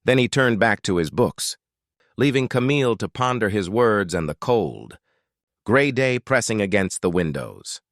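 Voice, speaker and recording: British male bedtime narrator, lowish pitch, soothing and measured but richly inflected. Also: big pitch swings, dry recording, studio quality. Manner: gentle, soothing, measured storytelling. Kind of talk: scripted fairytale narration for sleep.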